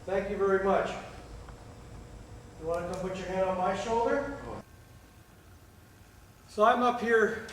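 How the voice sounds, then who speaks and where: A man with a somewhat high voice coaxes a spirit in slow, courteous phrases. He sounds distant from the camera, and the sound rings around a large empty theatre with a faint hiss underneath. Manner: slow, coaxing and polite. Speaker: paranormal investigator. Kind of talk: calling out to a spirit. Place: large old theatre, indoors. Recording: echoey hall from far off.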